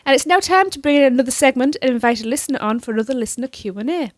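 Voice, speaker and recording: A woman's voice: high voice, expressive, very fast, no echo, studio quality. A woman co-hosting a podcast, upbeat and giggly as she chats and asks questions. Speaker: podcast co-host. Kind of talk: casual podcast chat.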